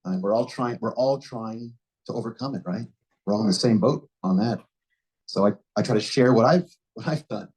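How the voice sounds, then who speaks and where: A man's voice: fairly low pitch, moderate pace, a little mellow, husky, rough recording. A man giving an impromptu answer at a club meeting, reflective and often restarting his sentences. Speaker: club member answering a question. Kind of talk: impromptu speech.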